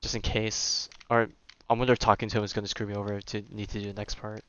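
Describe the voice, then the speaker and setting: A man's voice: fairly low pitch, little pitch movement, fast talker, a bit bright, highs cut off. A young man streaming a game and chatting casually, slangy and muttering as he thinks aloud. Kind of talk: casual live gameplay chat.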